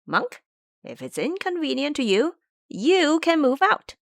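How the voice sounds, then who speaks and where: A woman's voice: high voice, big pitch swings, a little slow, creaky, no echo, clean studio sound, pitched above the performer's own voice, full character voice. A woman voicing an aggressive, boastful monkey warrior monk in an audio drama, threatening and commanding. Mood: menacing, demanding.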